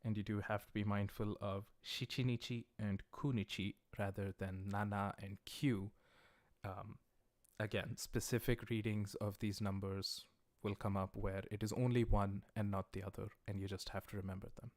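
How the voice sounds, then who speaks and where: A man's voice: fairly low pitch, flat delivery, a little mellow, no echo. A man whose first language is English, teaching a livestreamed Japanese lesson and patiently explaining patterns step by step. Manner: patient, explains step by step, reads viewer questions aloud; slows down to pronounce Japanese words carefully.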